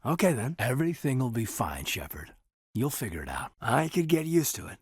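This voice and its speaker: A man voicing an earnest, loyal military officer in a sci-fi video game, sincere and restrained, with a husky voice. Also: mid-range voice, very animated, brisk, no room sound, clean studio sound. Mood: teasing, fond. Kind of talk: naturalistic dramatic game dialogue.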